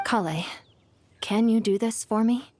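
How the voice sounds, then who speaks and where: A woman's voice: a little high, a little slow, a little mellow, slight background noise, close to an everyday voice. An actress playing an ancient regal female djinn in a video game, speaking with poetic, formal grandeur and wistful devotion. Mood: solemn, tender. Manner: poetic, formal, theatrical delivery.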